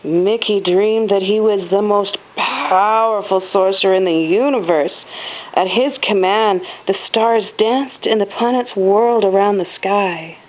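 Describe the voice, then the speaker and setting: A woman reading a children's storybook aloud as a mother does at home, with expressive stress on the dramatic words. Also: mid-range voice, unhurried. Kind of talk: storybook read-aloud narration. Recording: dull, lo-fi home recording.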